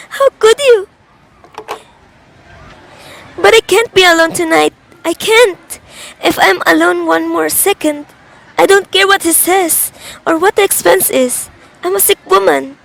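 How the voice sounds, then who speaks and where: A woman's voice: extremely high pitch, a little slow, gravelly, a bit bright, heavily acted, low-quality recording. A young woman acting a nervous, demanding, self-pitying invalid wife in a suspense radio drama, agitated and melodramatic. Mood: distraught. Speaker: student actor in a class radio drama.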